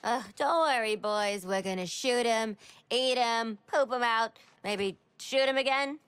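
A woman's voice: a little high, unhurried, fairly bright tone, smooth, no echo. A woman playing a gleeful, manic, trigger-happy villainess in a video game cutscene, brash and slangy. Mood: cheerfully murderous.

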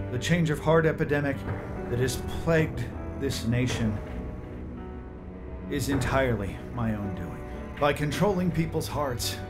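An actor playing a remorseful middle-aged tech executive in a video game, speaking formally and solemnly as if making a public confession. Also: male voice, mid-range voice, natural voice. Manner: solemn, formal, deliberate phrasing. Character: remorseful male tech CEO confessing his crimes. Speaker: company chief executive.

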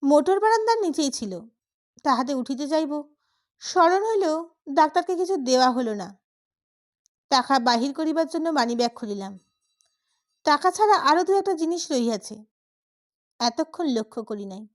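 A woman narrates a literary story. Her voice is high, and she reads in a dramatic storytelling style suited to an audiobook. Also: lively intonation, normal speed, pauses often.

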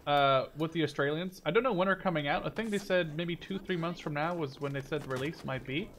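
A man's voice: on the high side, brisk, a bit bright, slight background noise. A man giving casual, rambling gameplay commentary, informal and given to mild swearing. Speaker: gamer and video host.

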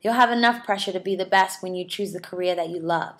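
A woman's voice: lowish voice, quick pace. Chatty young woman from New York. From New York City. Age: 20s. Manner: friendly, chatty, laughs often. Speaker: model and charity ambassador. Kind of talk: casual video answering viewer questions.

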